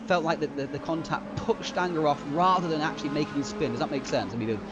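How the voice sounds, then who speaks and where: A man co-commentating a sim race, hoarse from a cold, excitable, often laughing and exclaiming. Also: a little high, quick pace. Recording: loud background noise.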